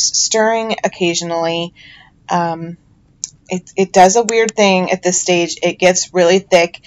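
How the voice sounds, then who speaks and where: A middle-aged woman with a chatty, self-deprecating manner, giving a casual voiceover narration as a home soap maker. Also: fairly low pitch.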